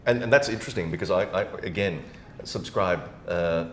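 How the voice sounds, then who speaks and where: Deep male podcast host, hesitant winding questions, conversational, rumbling noise behind. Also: a little fast, fairly bright tone. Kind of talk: conversational interviewing. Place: indoor podcast recording. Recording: roomy echo, noticeable rumbling background noise.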